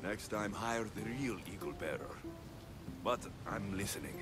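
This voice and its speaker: An actor playing a young, cocky, wry mercenary hero of ancient Greece in a video game cutscene, speaking with confidence. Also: male voice, on the low side, lively intonation, unhurried, over music. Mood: assertive.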